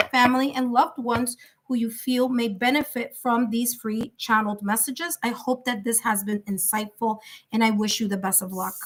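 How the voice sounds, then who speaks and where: A woman's voice: a little high, fairly bright tone, a little hiss or hum. A woman with a New York accent giving live tarot readings in a chatty, reassuring way, thinking aloud with fillers. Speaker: tarot reader.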